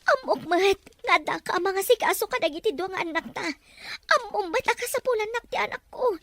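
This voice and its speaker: An actress playing a middle-aged Ilocano-accented mother, protective and worried, gentle but insistent, in a melodramatic radio drama. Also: very high voice, animated, fairly quick, slight background noise. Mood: pained protest.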